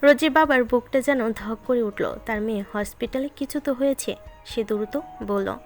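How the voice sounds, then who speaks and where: A woman's voice: on the high side, normal speed. A woman reading scripted narration of a romantic story, with music behind her voice.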